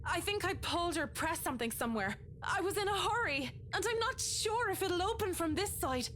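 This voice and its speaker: An actress playing an agitated, defiant young woman in a horror game, halting and sharp-tongued. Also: very high-pitched, animated, a little hiss or hum. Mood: shaken. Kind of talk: dramatic video game voice acting.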